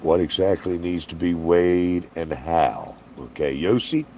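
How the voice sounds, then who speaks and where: A slow, unhurried man chatting on a livestream, folksy and rambling as he reads out viewer comments. His voice sits very low and sounds tired and strained. A faint hum of background noise stays under him. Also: dark tone. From Alabama, US. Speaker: livestream host. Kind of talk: casual livestream Q&A chat.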